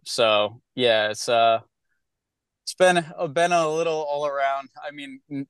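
A musician guest in an interview, answering in a hesitant, laid-back way full of fillers. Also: male voice, medium pitch, a bit bright, clear, resonant tone, no room sound. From upstate New York.

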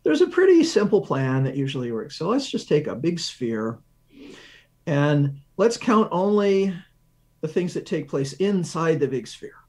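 A man with a fairly high voice gives a science explanation as an interview guest. He thinks aloud, setting up questions and then working through them with examples. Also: sing-song, a little slow, darkish tone. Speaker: research professor, interview guest.

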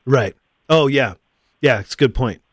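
A middle-aged American man hosting a casual conversational interview, thinking aloud in a wordy, halting way and often rephrasing. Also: mid-range voice, no echo, studio quality. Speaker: podcast host.

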